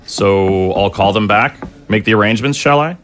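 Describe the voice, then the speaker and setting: An actor playing an agreeable, practical political aide in conversational audio drama. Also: male voice, fairly low pitch, moderate pace, fairly bright tone, faint music behind, natural voice. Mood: calm, obliging.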